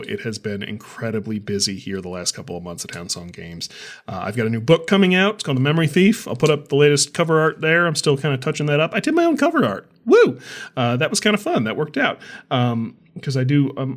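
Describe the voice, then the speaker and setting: Male host, mid-pitched voice, off-the-cuff and self-deprecating, wandering through asides like a creator chatting to subscribers. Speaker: channel host, author and game designer. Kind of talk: casual rambling vlog talk.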